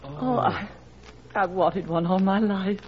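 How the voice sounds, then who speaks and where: A woman's voice: mid-range voice, slow, natural voice. A woman playing a tender, sentimental middle-aged mother in 1940s radio drama style. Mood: moved, grateful. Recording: muffled, hissy 1940s radio recording.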